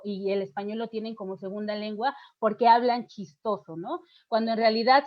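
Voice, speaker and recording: Middle-aged Mexican woman teacher, higher voice, explains patiently with many fillers; muffled lo-fi audio. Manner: explanatory, poses questions and answers them, frequent fillers and restarts. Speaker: literature teacher giving a lecture. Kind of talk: academic lecture to students.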